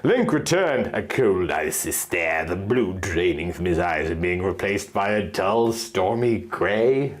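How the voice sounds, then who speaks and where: A man's voice: low-pitched, lively intonation, a little slow, few pauses. A man narrating a piece of fan fiction in a theatrical, exaggerated way. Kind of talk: dramatic reading of fan fiction.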